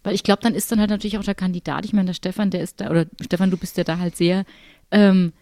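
A woman's voice: on the low side, fairly even intonation, fast, a little mellow, no room sound. A woman in a casual group discussion, rephrasing hesitantly as she explains her opinion. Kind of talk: casual chat.

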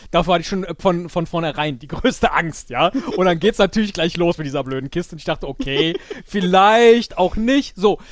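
A man chatting casually as a podcast guest, with an animated, self-mocking and rambling way of talking. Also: high voice, normal speed, hardly any pauses, no echo, studio quality. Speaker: podcast guest, improv audio-play player. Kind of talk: casual chat.

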